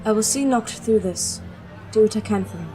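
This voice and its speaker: A woman's voice: medium pitch, normal speed, music in the background, close to an everyday voice. A woman playing a grieving young princess in an audio drama, bitter, blunt and bleak. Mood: flat, defeated.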